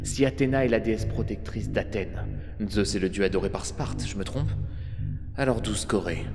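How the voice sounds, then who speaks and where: An actor playing a bold, wry adventurer hero in a French audio drama set in ancient Athens. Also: male voice, on the low side, moderate pace, gravelly. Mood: keen, probing. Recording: long reverb, loud music behind.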